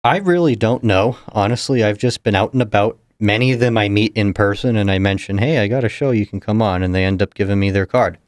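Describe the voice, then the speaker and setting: A young man from New Hampshire, a political candidate, explaining things in a measured, casual interview manner. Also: lowish voice, no room sound, studio quality. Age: young adult. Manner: measured, explanatory, chuckles between points.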